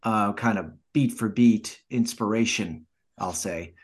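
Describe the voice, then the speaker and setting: A man co-hosting a casual film chat, sharing anecdotes haltingly and repeating words as he searches for the next one. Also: fairly low pitch, slightly rough. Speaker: podcast co-host.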